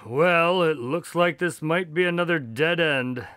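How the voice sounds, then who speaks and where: An actor playing an affable, wry doctor sidekick who tells stories in a chatty, expressive, mildly exasperated way in a radio drama. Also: male voice, fairly high pitch, slow pace, smooth, dry recording, studio quality. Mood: wry, puzzled. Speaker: narrator-character of a radio drama. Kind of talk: scripted radio drama narration and dialogue.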